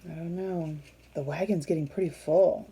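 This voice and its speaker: A woman reading a picture book aloud to young children, expressive and teacherly, pausing to put questions to her listeners. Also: sits low, slight background noise.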